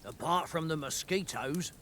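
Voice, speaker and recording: A man's voice: on the high side, normal speed, fairly bright tone, a little hiss or hum, close to an everyday voice. A man playing a rowdy, brash soldier. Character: boisterous soldier, fond of drink and women.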